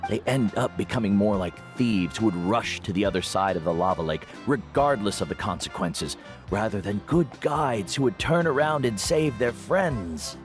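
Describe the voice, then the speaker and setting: An actor playing a veteran guide and teacher who speaks in a measured, reflective way in game dialogue. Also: male voice, on the low side, expressive, natural voice. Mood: steady. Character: older male guide mentor, calm and wise. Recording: game audio with faint music.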